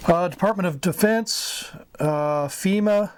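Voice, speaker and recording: A man's voice: sits high, takes it slowly, a little hiss or hum. An American man giving an informal explanatory talk as a hobbyist teacher. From United States. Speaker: amateur radio hobbyist teaching beginners.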